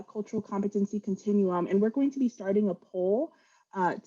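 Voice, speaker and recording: A woman whose first language is Vietnamese presents a training webinar. She is earnest and sincere, pausing on fillers and restarting sentences. Her voice sits at a middle pitch, and the call audio sounds dull and muffled. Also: darkish tone.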